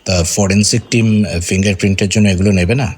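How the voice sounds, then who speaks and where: An actor playing a composed senior detective who asks probing questions conversationally in an audio crime drama. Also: male voice, on the low side, fairly even intonation, fairly quick, breathy edge. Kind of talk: Bengali radio detective drama acting.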